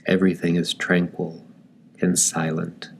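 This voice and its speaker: A man leading a guided meditation in calm, gentle scripted narration, with deliberate phrasing and long pauses. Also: sits low, gravelly, a little hiss or hum.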